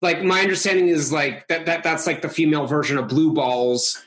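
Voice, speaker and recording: A man's voice: on the high side, fairly quick. Russian-born man telling jokes. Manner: rambling, deadpan joke delivery. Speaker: comedian guest. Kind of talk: casual podcast banter.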